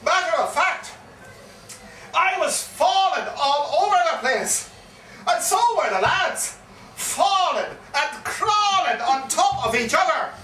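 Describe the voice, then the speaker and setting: Actor as a high-pitched, excitable Irish pub storyteller. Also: male voice, takes it slowly, exaggerated voice. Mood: anguished, loud. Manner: theatrical, repeats phrases with relish and dramatic emphasis. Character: excitable Irish pub yarn-spinner telling a wild tale. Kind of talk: live comic stage monologue. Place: pub room, live stage performance. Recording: echoey live pub recording, distant mic, faint noise.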